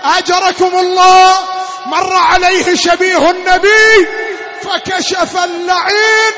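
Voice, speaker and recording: A man delivering a half-chanted Shia lamentation sermon as a religious reciter, in an anguished, wailing, drawn-out voice. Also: very high voice, level tone. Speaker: religious mourning reciter and preacher. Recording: long hall reverb, dull lo-fi sound, music-like backing.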